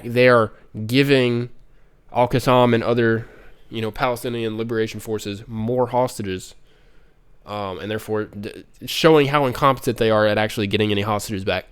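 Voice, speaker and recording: A man's voice: medium pitch, moderate pace, clear, resonant tone. Congested man rambling through news. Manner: rambling, many ums and restarts. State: congested, nasal from a cold. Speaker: streamer. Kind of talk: casual news commentary stream.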